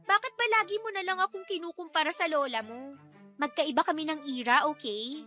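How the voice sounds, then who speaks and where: An actress playing a feisty young Filipina in love in a radio drama, expressive and colloquial with a Filipino accent. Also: female voice, sits high, brisk, soft background music. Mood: feisty, teasing.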